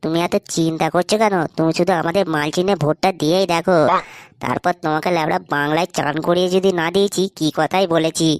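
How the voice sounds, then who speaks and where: A woman's voice: sits low, fairly even intonation, fast, clear, resonant tone, no echo, studio quality, natural voice. A woman voicing a pushy, foul-mouthed young lad who wheedles as a sidekick in a comedy cartoon. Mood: pleading. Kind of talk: Bengali comedy cartoon voice acting. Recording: noisy audio with clicks.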